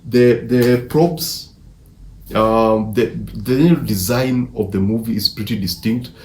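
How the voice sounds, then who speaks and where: A man's voice: lowish voice, a little slow. Man reviewing films, echoey room. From Africa. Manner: enthusiastic, emphatic, repeats words mid-sentence. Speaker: film reviewer. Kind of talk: casual movie review. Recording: echoey room, faint background noise.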